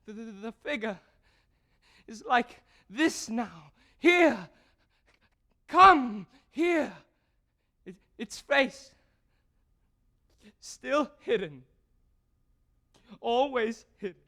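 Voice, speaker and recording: A man's voice: very high-pitched, animated, drawn-out words, pauses often, sharp tone, full character voice. A teenage male stage actor playing a frightened, dying young composer who stammers, halts and breaks into nervous laughter. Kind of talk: theatrical stage acting. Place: theatre stage.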